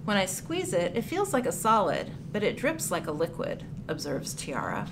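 A woman reading a picture book aloud for children, with deliberate, clearly phrased storytelling delivery. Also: fairly low pitch, wildly swooping pitch. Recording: clear background noise, room ambience.

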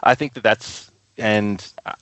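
A man's voice: on the low side, fairly even intonation, unhurried, a bit bright, dry recording, rough recording. A man speaking casually as a guest in an interview, talking about running a small record label.

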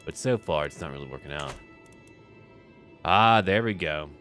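A man with a deep voice talks through a game as he plays, with faint music underneath. He speaks casually into a close mic, thinking aloud in short, clipped remarks and slipping lightly into roleplay. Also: lively intonation, moderate pace. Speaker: host of a gameplay series. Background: background music.

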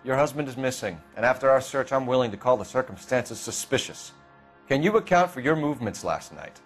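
Actor as stern American detective, animated pitch. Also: male voice, brisk, faint music behind, close to an everyday voice. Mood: accusing. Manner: clipped, formal, confrontational. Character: stern, accusing young male police detective. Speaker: police detective. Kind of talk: dramatic game interrogation acting.

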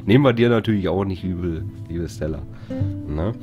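A male let's-play streamer with a fairly low voice, rambling casually, greeting chatters and filling gaps with ums. Faint game music sits under his talk. The tone stays relaxed and conversational. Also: a little fast, lo-fi sound. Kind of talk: casual live-stream commentary over gameplay.

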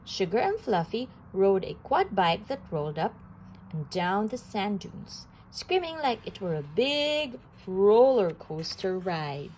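A woman reading a children's picture book aloud, slowly and with animated delivery. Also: a little high, slight background noise, poor audio. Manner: slow, animated storytelling with occasional restarts. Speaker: storybook reader.

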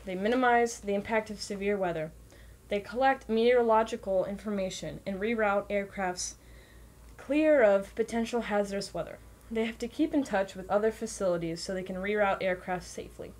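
A woman's voice: mid-range voice, moderate pace, darkish tone. A woman reading scripted documentary narration in a plain, informational way, occasionally stumbling over words. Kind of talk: scripted narration, read aloud.

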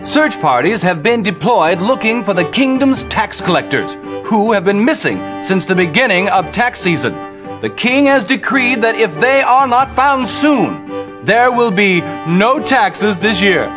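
A pompous medieval news anchor delivers a parody video game bulletin. His voice is high and theatrical, with lively intonation over music. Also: a little slow. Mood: arch, deadpan. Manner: theatrical, deadpan newsreader delivery.

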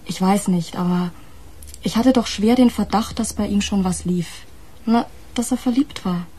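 An actress playing a blunt, wary fiancée in a radio crime drama, speaking in a curt, challenging manner. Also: female voice, medium pitch, moderate pace, mellow, breathy edge, lightly acted. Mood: dismissive, candid.

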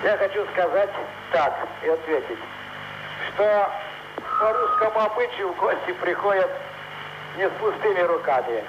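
A man speaks from a space station cabin through a headset, pausing as he searches for his words. His voice sits high and reaches the listener muffled, under a thick hiss of static. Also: animated. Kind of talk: live answers from orbit. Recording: muffled noisy space link.

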